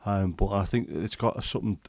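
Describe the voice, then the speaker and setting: A man's voice: on the low side, brisk, gravelly, a little mellow, dry recording. A man from England, chatting casually on a livestream and answering comments, rambling with many ums and restarts. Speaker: livestream host. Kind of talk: casual chat, reading and answering live comments.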